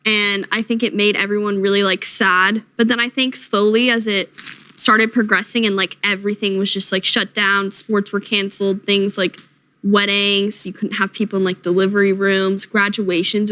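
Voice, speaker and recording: A woman's voice: medium pitch, little pitch movement, moderate pace, pushed and bright, smooth. A teenage girl on a panel talking reflectively, with lots of fillers. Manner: reflective, many likes. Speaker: panel guest. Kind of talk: casual chat.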